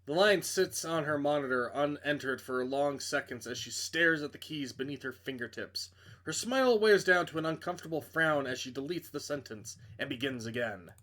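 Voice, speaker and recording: Higher-pitched man doing a game playthrough. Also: expressive, normal speed, fairly bright tone. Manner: reads narration dramatically, stumbles and breaks off for blunt asides. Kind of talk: let's play, reading story text aloud with casual commentary.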